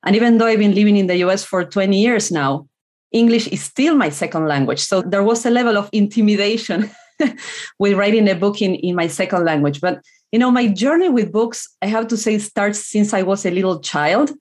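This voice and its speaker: A woman's voice: medium pitch, lively intonation, a little fast, a little mellow. Argentine woman speaking English as a second language. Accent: Argentine Spanish. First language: Spanish. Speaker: author guest. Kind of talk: casual interview answers.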